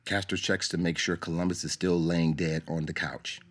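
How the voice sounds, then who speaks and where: A man's voice: deep voice, flat delivery, normal speed, heavy background noise. A man reading scripted narration for a radio drama. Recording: narration over music. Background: music.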